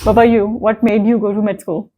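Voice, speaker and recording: A woman's voice: mid-range voice, level tone, brisk, narrow, tinny audio. Friendly woman host asking questions. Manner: friendly, with many short listening replies. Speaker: interview host. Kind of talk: casual interview.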